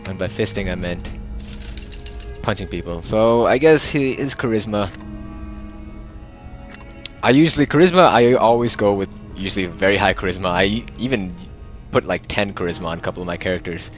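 A man's voice: lowish voice, moderate pace, long pauses, soft background music. A young man casually narrating a game, rambling and joking as he plays. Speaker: let's play host. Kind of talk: casual gameplay commentary.

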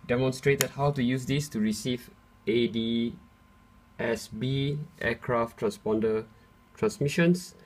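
A man giving a casual, unscripted tech demonstration, explaining step by step in a slightly hesitant way. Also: fairly low pitch. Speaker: tutorial presenter. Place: indoors in a room at home. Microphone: handheld camera's built-in mic.

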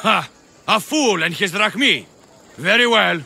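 An actor playing a menacing soldier thug with a gravelly voice who mocks and threatens, heard slightly from afar. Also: male voice, high voice, lively intonation, a little slow, pushed and bright, slight background noise, full character voice. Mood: mocking. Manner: mocking, threatening.

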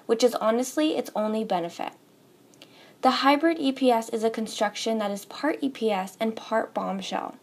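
Creaky-voiced young woman presenting products. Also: on the high side, darkish tone. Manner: upbeat, direct address to viewers. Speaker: store presenter. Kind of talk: scripted product explainer. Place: studio with a table, voice-over for most of the video.

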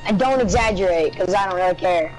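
A woman's voice: mid-range voice, a little fast, a little hiss or hum, poor audio. A woman gamer talking in casual voice chat during a match, teasing and sarcastic with her teammates. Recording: game music loud behind voice.

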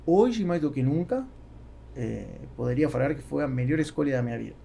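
A man's voice: medium pitch, animated, fairly quick, vocal fry, mellow, a little hiss or hum. A middle-aged man, a native Spanish speaker with a Spanish accent in Portuguese, answering interview questions as a podcast guest and often searching for words. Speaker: podcast guest, business executive. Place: small foam-treated podcast studio. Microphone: close boom-arm studio microphone.

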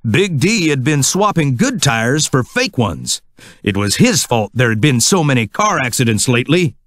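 Man for children's storybook narration: scripted, highly expressive pitch, close dry sound. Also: normal speed, no room sound.

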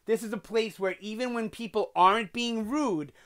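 Young New guy hosting a TV review channel, animated and loose, lots of "um" and "you know", webcam sound. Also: high-pitched, moderate pace. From New York. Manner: animated, gesturing a lot, chatty with fillers. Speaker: TV review host. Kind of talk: casual video review. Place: small bedroom with collectibles on shelves.

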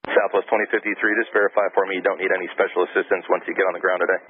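Male approach controller, low voice, very fast, tinny radio line. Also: level tone. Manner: rapid, routine, polite. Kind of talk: radio exchanges with pilots. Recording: tinny radio transmission with light hiss.

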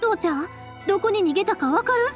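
A spirited, caring young anime game heroine with a Kansai accent. Her voice is high and very animated, earnest and colloquial, over soft music. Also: fast. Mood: alarmed, worried. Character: spirited, caring young woman, fireworks maker. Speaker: voice actor in a game.

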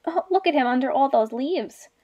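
Young female preschool teacher, high voice, very lively intonation, story read-aloud. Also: normal speed, muffled recording. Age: young adult. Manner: expressive, addressing small children with questions. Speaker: children's literacy teacher.

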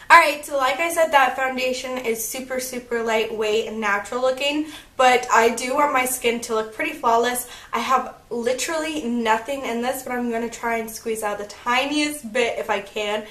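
A woman's voice: a little high, a bit bright. Chatty young woman in an echoey room. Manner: chatty and upbeat, narrating each step. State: slightly croaky, recovering from illness. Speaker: beauty vlogger. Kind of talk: casual makeup tutorial chat. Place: bedroom at home, indoors.

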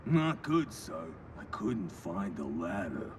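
An actor playing a meek, dim, obedient vigilante underling in a video game, hesitant and deferential. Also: male voice, sits low, sing-song, takes it slowly, background noise, full character voice. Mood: sheepish. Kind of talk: video game cutscene acting.

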